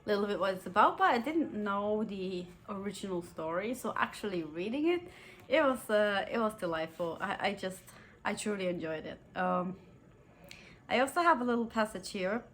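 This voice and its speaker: A woman's voice: mid-range voice, expressive, normal speed, a bit bright, slight background noise. A young woman, a native German speaker, talking casually about books she read, giggling often and using lots of fillers and restarts. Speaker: book reviewer. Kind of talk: casual book review vlog.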